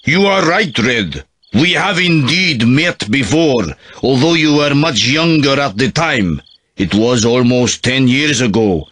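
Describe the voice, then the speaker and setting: A slow, measured colonel. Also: male voice, mid-range voice, animated, fairly bright tone. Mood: reassuring. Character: calm older male Russian colonel, secretive.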